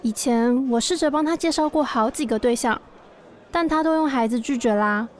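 Woman acting a high-voiced, chatty shop acquaintance. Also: normal speed, natural voice. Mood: gossipy. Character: chatty, friendly female shop acquaintance, encouraging. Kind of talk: radio drama dialogue.

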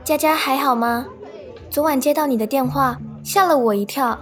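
A woman's voice: sits high, moderate pace, faint music behind, natural voice. A woman playing a considerate, concerned young friend in a radio drama.

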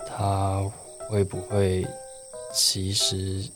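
A man plays a talkative, dreamy teenage schoolboy in a radio drama. His voice sits lowish, with a Taiwanese accent and expressive emphasis, over faint music. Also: extremely slow, exaggerated voice. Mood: playful, hopeful. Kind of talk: Mandarin radio play acting and first-person narration.

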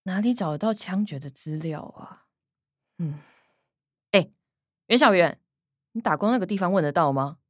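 A woman's voice: lowish voice, expressive, normal speed, long pauses, dry recording, studio quality. A woman playing a blunt, shrewd grown daughter in a radio drama, curt and questioning with a Taiwanese accent. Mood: probing.

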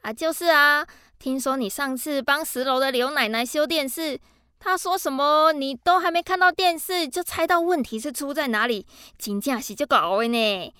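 A woman's voice: high-pitched, moderate pace, bright, forceful tone, no room sound, clean studio sound. An actress playing a chatty, effusive middle-aged mother in a radio drama, with a Taiwanese Mandarin accent mixed with Hokkien. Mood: friendly, chatty. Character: chatty, friendly neighborhood mother asking a favor.

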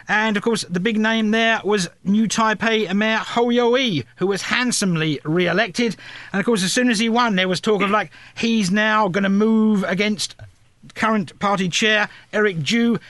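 Man, news host, high-ish pitch, multi-part questions, dry close mic. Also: a bit bright, no room sound.

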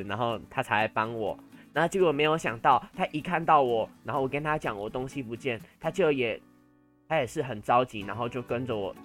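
A young man of high-school age with a Taiwanese Mandarin accent, telling stories casually and playfully as a podcast host, with a touch of drama. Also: a bit bright. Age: teens to young adult, high school senior. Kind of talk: casual storytelling chat.

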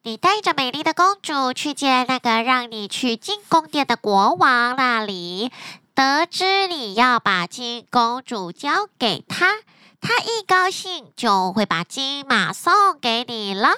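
A woman's voice: high-pitched, sharp tone, no echo, exaggerated voice. A woman voicing a clever, helpful little fox in a bedtime story, talking in a coaxing, instructive way.

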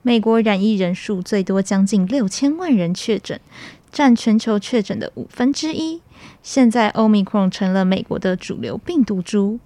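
A woman's voice: mid-range voice, animated, normal speed, dark tone, no echo. A young adult woman with a Taiwanese Mandarin accent reading scripted student campus news.

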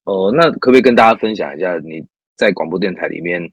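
A man's voice: deep voice, lively intonation, fast talker, slightly rough, no room sound. A man hosting an interview, asking encouraging, leading questions. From Taiwan. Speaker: host. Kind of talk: casual interview chat.

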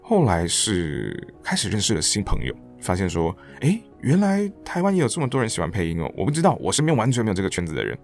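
A man with a Taiwanese Mandarin accent talks casually as an interview guest. His low voice swings in lively contours and moves quickly, like someone telling stories to a friend. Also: faint music behind. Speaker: interview guest, theatre director and voice actor. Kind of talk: casual conversational storytelling.

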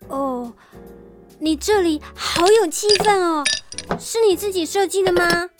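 A very high, bubbly voice of a chatty young woman, as an actress plays her in an audio drama. She talks on and on, bright and excitable, over background music. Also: unhurried. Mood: chatty, excited. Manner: bubbly, talkative, flustered when teased. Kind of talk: amateur audio drama acting.

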